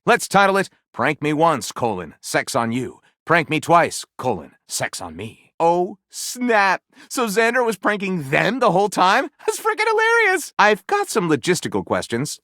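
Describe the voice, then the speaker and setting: A man's voice: medium pitch, fairly bright tone, no echo, studio quality. A man voicing a talking book in a video game, a glib and sardonic literary critic with theatrical enthusiasm, speaking in chatty monologue. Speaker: dateable game character reviewing and titling stories. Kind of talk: video game character voice acting, conversational monologue.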